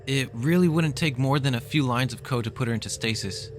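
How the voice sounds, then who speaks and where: A man's voice: medium pitch, fairly quick, soft background music, natural voice. A man playing an earnest, principled engineer in a radio drama, reasoning in a conversational way. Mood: earnest, arguing.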